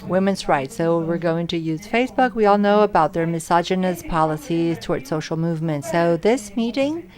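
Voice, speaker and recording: A woman interpreting audience questions live at an academic symposium. She speaks in short, halting phrases and often corrects herself mid-sentence. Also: lowish voice, lively intonation, a little mellow. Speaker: simultaneous interpreter.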